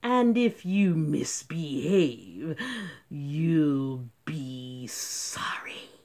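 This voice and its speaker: A woman performs a strict, unpleasant substitute teacher from a storybook. She speaks very slowly in a low register, hissing and snapping her orders with cold menace. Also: animated, darkish tone, full character voice. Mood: strict, threatening. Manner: slow, clipped, commanding.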